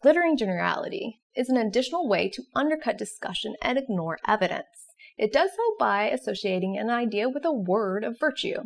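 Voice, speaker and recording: A woman's voice: fairly high pitch, animated, fairly quick, gravelly. A woman presenting an educational explainer in scripted narration. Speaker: presenter of an educational video series.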